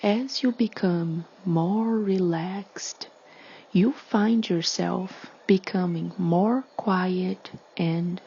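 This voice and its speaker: A woman leading a guided meditation in a soothing, hushed, breathy delivery with long pauses. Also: lowish voice, muffled recording, a little hiss or hum.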